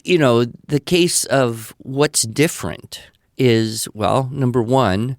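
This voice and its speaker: A man speaking conversationally as a podcast expert, explaining advice in a measured way with emphatic repetition. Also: medium pitch, creaky, dry recording, studio quality. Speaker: podcast expert answering listener questions.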